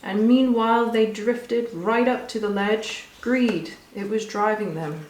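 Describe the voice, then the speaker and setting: A woman reading a rhyming children's story aloud with expressive, rhythmic delivery. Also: mid-range voice, a little slow, a little hiss or hum. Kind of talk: scripted storybook narration for children. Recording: echoey room.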